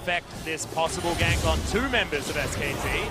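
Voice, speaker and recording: A man doing live esports play-by-play as a commentator, excited and shouting at the big plays. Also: on the high side, brisk. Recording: loud music and crowd behind voice. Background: music, cheering crowd.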